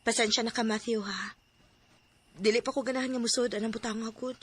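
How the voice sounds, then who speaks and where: Actress as high-voiced young woman, noisy background. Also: steady tone, fairly quick, natural voice. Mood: apologetic. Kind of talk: Philippine radio drama acting.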